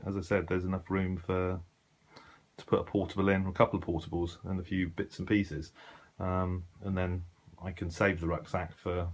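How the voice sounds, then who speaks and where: Deep-voiced British man, casual and hesitant. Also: little pitch movement, normal speed. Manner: hesitant, rambling, conversational. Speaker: shortwave radio hobbyist presenting his gear. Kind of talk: casual unscripted video commentary.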